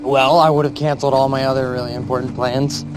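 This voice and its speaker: An actor playing a self-effacing, nervy office worker on a date, joking and hesitant in naturalistic screen drama. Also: male voice, mid-range voice, level tone, quick pace, music in the background, close to an everyday voice, rough recording. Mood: flirtatious, sheepish.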